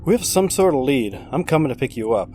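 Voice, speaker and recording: A man's voice: medium pitch, sing-song, fast, a little mellow, soft background music, voice pushed up, lightly acted. A man voicing a jittery male police partner who sounds urgent and rattled, raising his voice above his own narrating tone. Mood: brisk.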